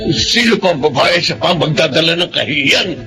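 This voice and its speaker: A man's voice: fairly high pitch, lively intonation, normal speed, vocal fry. An older man playing a stern, outraged father who scolds with pointed questions in a Filipino audio melodrama. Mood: furious. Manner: scolding, forceful rhetorical questioning. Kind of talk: Filipino radio-style melodrama acting. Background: dramatic music.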